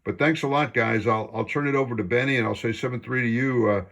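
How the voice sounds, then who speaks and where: A man's voice: mid-range voice, fairly even intonation, fast talker, gravelly, fairly bright tone. A man talking casually as an amateur radio operator during an on-air contact.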